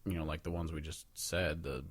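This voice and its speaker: A man's voice: extremely low pitch, fairly even intonation, a little fast. A man co-hosting a podcast in casual conversation, opinionated and informal, swearing freely. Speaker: podcast co-host. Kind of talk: casual chat.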